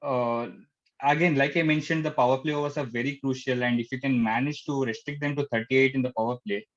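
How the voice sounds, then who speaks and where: A man with an Indian accent giving casual match analysis as a panelist, with a halting, hesitant delivery. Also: medium pitch, steady tone. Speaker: cricket show panelist. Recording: dull, lo-fi band-limited sound.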